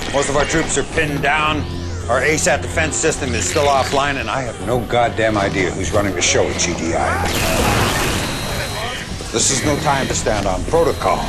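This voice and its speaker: Actor as a blunt, commanding army general. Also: male voice, mid-range voice, close to an everyday voice. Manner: blunt, authoritative. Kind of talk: mission briefing in a game cutscene.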